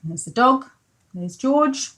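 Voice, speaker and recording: A woman with a high voice tells a story to very young children. She takes it slowly, in the manner of a pre-school teacher, with simple child-directed comments on the pictures. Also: animated, a bit bright.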